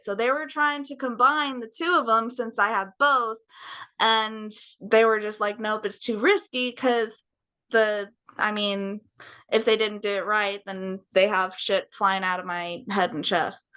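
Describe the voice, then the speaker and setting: A young woman talking frankly in a casual interview, swearing freely, with a slightly raised, raspy voice. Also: mid-range voice, a little slow, sharp tone. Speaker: podcast guest.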